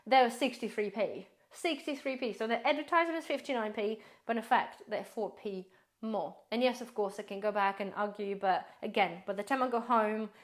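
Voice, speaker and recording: A woman prepper vlogger chatting casually through a grocery haul, rambling, addressing viewers as guys, her voice slightly hoarse. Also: fairly high pitch, a little fast, hardly any pauses. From based in the UK.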